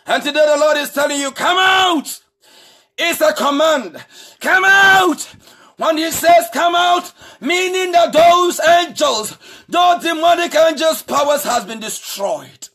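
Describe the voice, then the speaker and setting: A man preaching a sermon as pastor, shouting with a repetitive, sing-song cadence. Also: extremely high pitch, animated, moderate pace. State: married. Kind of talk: impassioned preaching.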